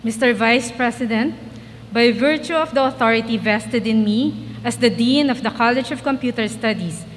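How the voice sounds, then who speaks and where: A woman's voice: a little high, normal speed. A woman dean formally reading out degree presentations at a podium microphone. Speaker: college dean. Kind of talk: formal ceremonial address. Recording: reverberant hall, faint noise.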